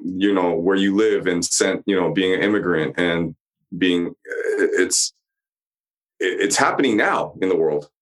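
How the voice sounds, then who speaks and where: A man in his forties co-hosting a podcast, talking bluntly and with a lot of emphasis. He swears heavily and speaks in a fairly low voice. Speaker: podcast co-host. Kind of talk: casual chat about a TV show.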